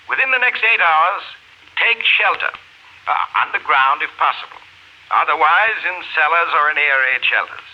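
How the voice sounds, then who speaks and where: Casting: stiff, solemn official, notably high voice, careful delivery, muffled vintage broadcast. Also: male voice, animated, normal speed. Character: formal, measured male government science official. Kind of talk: 1950s radio drama address. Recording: muffled 1950s radio broadcast.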